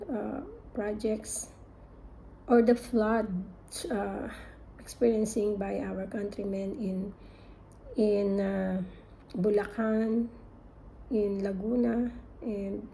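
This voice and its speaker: An older woman from the Philippines with a Filipino accent, speaking haltingly with many fillers as she opens a sermon in English, her second language. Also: medium pitch, creaky, dark tone, slight background noise, poor audio. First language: Filipino (Tagalog). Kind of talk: sermon introduction.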